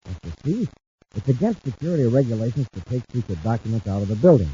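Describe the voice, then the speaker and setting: An actor playing a sly, smug, weak-willed crook out for money in a 1950s radio serial. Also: male voice, mid-range voice, big pitch swings, quick pace, mellow, close to an everyday voice. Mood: sly, confiding. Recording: muffled, hissy old radio recording.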